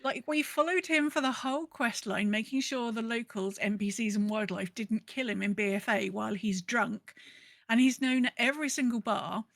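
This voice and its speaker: A woman's voice: fairly high pitch, moderate pace, creaky, fairly bright tone, no room sound. A woman co-hosting a podcast in a casual, playful, chatty way, sometimes reading out messages. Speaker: podcast co-host. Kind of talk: casual chat.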